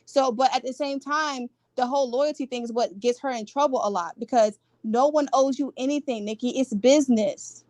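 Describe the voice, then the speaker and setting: A woman's voice: on the high side, no echo. A woman in a casual, opinionated gossip chat, speaking with a stutter and driving her slangy points home emphatically. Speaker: gossip show panelist.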